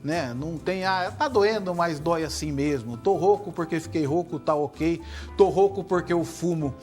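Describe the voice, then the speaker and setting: A middle-aged Brazilian man, a surgeon guest, explaining in a measured way and often tagging his points with "né?". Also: fairly high pitch, a little mellow, faint music behind. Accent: Brazilian Portuguese. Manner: measured, tag questions like "né?". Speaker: head and neck surgeon guest. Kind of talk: explanatory interview answers.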